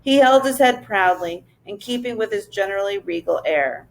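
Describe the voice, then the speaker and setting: A woman's voice: mid-range voice, lively intonation, normal speed, rough recording. A woman narrating a children's story as a teacher reading aloud to a class.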